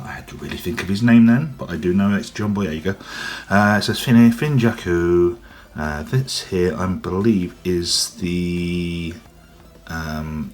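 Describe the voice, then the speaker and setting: A young man with a cold, his voice deep and gruff, chatting casually and rambling as he unboxes and reviews a toy. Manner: rambling, chatty, frequent ums. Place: indoors at a table, off camera.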